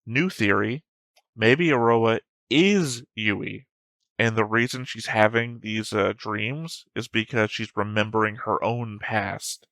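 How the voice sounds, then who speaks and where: Low-voiced man musing over his notes. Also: slow pace, fairly bright tone, no room sound. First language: English. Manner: rambling, self-correcting, thinking aloud. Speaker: anime reaction channel host. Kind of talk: reading his pre-episode notes and theories.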